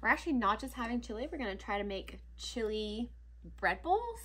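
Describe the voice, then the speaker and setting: A woman's voice: on the high side, very animated, fairly quick, pushed and bright, breathy edge. A woman in her thirties chatting casually to a camera a few feet away inside a cabin. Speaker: vlogger. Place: indoors in a log cabin. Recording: faint ambient noise, camera mic.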